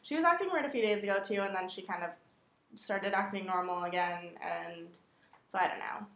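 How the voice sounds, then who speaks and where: A woman's voice: mid-range voice, fairly quick, fairly bright tone. A young woman hosting a podcast in casual chat, rambling with many fillers. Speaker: podcast host. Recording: roomy room echo.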